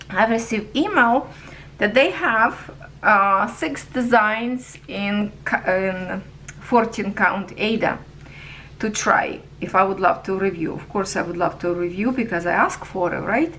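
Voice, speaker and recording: A woman's voice: fairly high pitch, a bit bright, slight background noise. A woman giving a casual product review on her craft channel, chatty and checking in with viewers, in non-native English phrasing.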